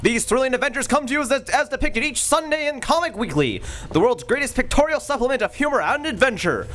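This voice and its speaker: A man hosting and announcing a live old-time radio show in a booming, theatrical manner. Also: animated, fast talker, a bit bright, a little hiss or hum. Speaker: host and announcer. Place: stage of a museum theater.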